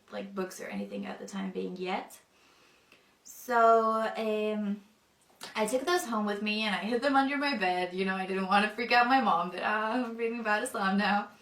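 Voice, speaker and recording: A young woman, not a native English speaker, telling her personal testimony thoughtfully, with many pauses and fillers. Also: medium pitch, a bit bright, reverberant, distant mic. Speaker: convert telling her story.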